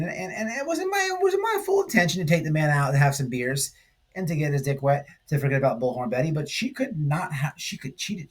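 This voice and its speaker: A man hosting a commentary stream, talking casually and haltingly, repeating phrases for emphasis. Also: a little high, husky. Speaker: host of a livestream commentary channel. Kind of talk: casual rambling drama commentary.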